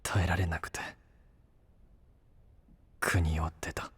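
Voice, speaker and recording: An actor playing a brash, rough-talking young knight and younger brother in an anime-style voice drama. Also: male voice, deep voice, flat delivery, slow, a bit bright, long reverb tail, exaggerated voice. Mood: confessional, pained. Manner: blunt, rough masculine speech.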